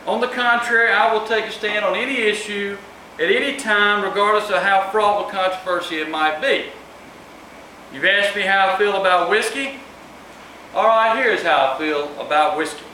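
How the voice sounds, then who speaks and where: A man's voice: high voice, bright, forceful tone, distant mic. Guy author giving a speech at an event, really echoey and noisy recording. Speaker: author speaking at his book event. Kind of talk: public speech to a live audience. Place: room at a live event, distant microphone.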